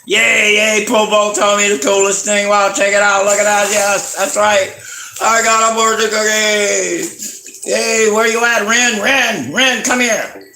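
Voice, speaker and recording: An excitable comic character, put on by a man, with a high, bright voice that exclaims every phrase. The delivery is playful and emphatic, in a roomy echo on a livestream. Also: measured pace, exaggerated voice. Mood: excited, playful.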